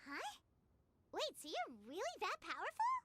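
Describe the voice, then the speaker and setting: A woman voicing a small, excitable, childlike fairy companion in a video game, squeaky and cartoonish. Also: normal speed, very noisy, heavily acted. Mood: surprised. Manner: excitable, refers to self in third person. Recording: loud game music behind.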